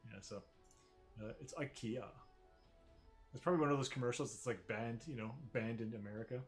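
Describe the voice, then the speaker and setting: A man's voice: mid-range voice, brisk, soft background music. A man narrating a tabletop game as game master, rambling with many fillers and restarts.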